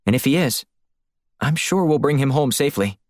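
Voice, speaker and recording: A man's voice: medium pitch, animated, moderate pace, dry recording, studio quality, pitched above the performer's own voice, natural voice. A man voicing a capable, cautious female agent in an audiobook, with an earnest, frank and concerned delivery. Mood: reassuring, frank. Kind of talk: audiobook character voice.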